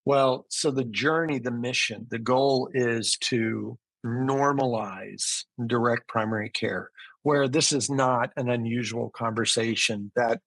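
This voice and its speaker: A man's voice: medium pitch, creaky, a bit bright, breathy edge, dry recording, poor audio. Man explaining in an interview, close dry sound. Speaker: interview guest, physician and business founder. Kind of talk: conversational interview answers.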